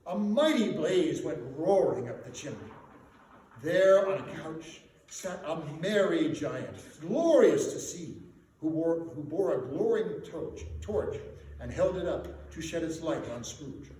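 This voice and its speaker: A man giving a dramatic literary reading as a storyteller, with theatrical, emphatic and deliberate phrasing. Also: fairly high pitch, animated, mellow. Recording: very echoey room, distant mic, background noise.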